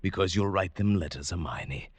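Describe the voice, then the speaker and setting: An actor playing a middle-aged British professor, a coldly methodical and smug murderer, in a precise, theatrical 1940s radio style. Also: male voice, low voice, normal speed, breathy edge, no echo. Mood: gloating. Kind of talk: 1940s radio drama acting and narration. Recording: vintage 1940s radio with organ-era music.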